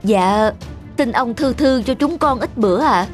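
A man's voice: extremely high pitch, expressive, a little slow, soft background music. Timid, humble peasant father. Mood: anxious, pleading. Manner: deferential, timid. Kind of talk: cartoon fairy-tale dubbing.

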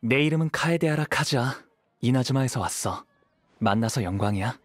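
A man voicing a calm, modest young wandering swordsman in an anime game, soft-spoken and humble. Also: mid-range voice, fairly quick, no echo, natural voice.

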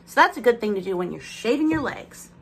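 A woman's voice: a little high, big pitch swings, brisk, vocal fry, a little hiss or hum. A woman in her thirties chatting casually in a home vlog, rambling while she unboxes products, with a slight lisp from aligners. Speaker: vlogger. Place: bedroom at home, indoors.

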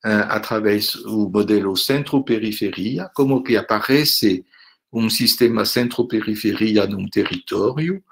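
An older man with a French accent, a visiting professor wrapping up an online lecture on a video call from home, speaking haltingly with many fillers and tag questions. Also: lowish voice, vocal fry, a bit bright. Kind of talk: closing remarks of an online lecture. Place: home room indoors. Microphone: laptop webcam on a video call.

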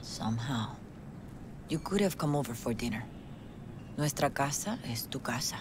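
An actress playing a grieving, dignified middle-aged mother with a Latin American Spanish accent, gently hospitable and unhurried, in a video game drama. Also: a bass voice, lively intonation, noisy background. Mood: welcoming, tender. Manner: motherly, unhurried, peppers speech with Spanish endearments.

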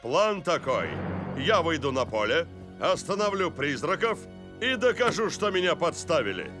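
Determined cartoon sports hero, animated. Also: male voice, on the high side, moderate pace, over music. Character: determined, self-assured male star footballer. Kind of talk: dubbed cartoon acting.

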